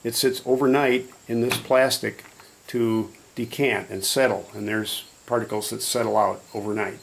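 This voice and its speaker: A man's voice: lowish voice, level tone, moderate pace, gravelly, a little hiss or hum, low-quality recording. A man explaining a hands-on process step by step in an informal, matter-of-fact demonstration style. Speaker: hobbyist demonstrator.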